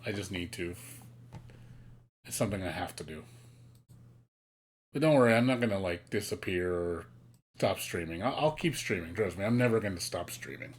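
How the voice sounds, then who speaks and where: A man's voice: low-pitched, animated, moderate pace, long pauses. A man in his late thirties, talking to viewers on his live stream in a candid, rambling way. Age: late 30s. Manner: candid, rambling, self-critical.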